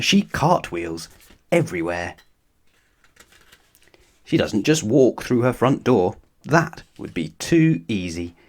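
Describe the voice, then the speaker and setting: Animated male children's story narrator. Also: mid-range voice. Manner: expressive, addressing young listeners.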